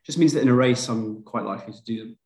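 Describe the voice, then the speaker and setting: A man's voice: mid-range voice, fast talker, mellow. A man from Liverpool, a GP, chatting as a podcast guest and telling stories in a self-deprecating way with plenty of fillers. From Liverpool, England. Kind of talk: casual conversation. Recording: roomy echo.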